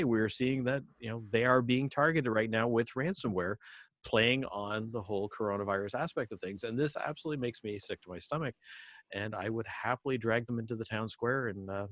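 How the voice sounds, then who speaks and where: A man's voice: mid-range voice, a little fast, fairly bright tone, no echo. A man co-hosting a podcast, discussing news casually with emphatic phrasing. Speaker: podcast co-host.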